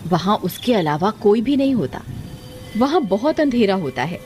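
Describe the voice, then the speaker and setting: A woman's voice: mid-range voice, very animated, moderate pace, over loud music. A woman storyteller giving scripted narration for a cartoon horror story.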